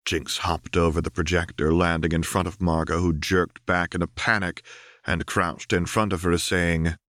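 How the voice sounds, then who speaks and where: A man's voice: low-pitched, flat delivery, normal speed, fairly bright tone, no room sound, clean studio sound. A man reading a fiction audiobook as narrator, in dramatic scripted narration.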